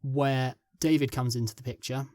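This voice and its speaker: A man's voice: medium pitch, steady tone, normal speed, darkish tone, no echo, studio quality. A man being interviewed as a script writer, talking casually and hesitantly with many fillers and trailing thoughts.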